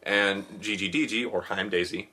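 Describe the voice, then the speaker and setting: A man's voice: on the low side, steady tone, moderate pace, pushed and bright. A man hosting a podcast, chatting in an upbeat, joking, rambling way about a web comic. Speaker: podcast host. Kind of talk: casual podcast chat.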